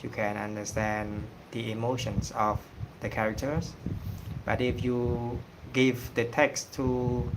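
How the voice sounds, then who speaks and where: A man from Cambodia with a Cambodian accent, whose first language is Khmer, talking casually on a livestream and pausing often with fillers. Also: mid-range voice, flat delivery, background noise. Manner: hesitant, many pauses and fillers. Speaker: singing channel host.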